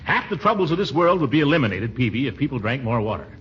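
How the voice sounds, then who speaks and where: An actor playing a pompous, flustered middle-aged bachelor uncle in a blustery, hemming 1940s radio comedy style. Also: male voice, medium pitch, sing-song, fast, fairly bright tone. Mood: pompous, insistent. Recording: muffled, noisy 1940s radio broadcast recording.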